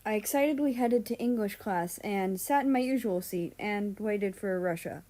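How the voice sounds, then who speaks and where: A woman narrating fan fiction as a story reader, with an expressive, animated read-aloud style. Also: medium pitch, moderate pace.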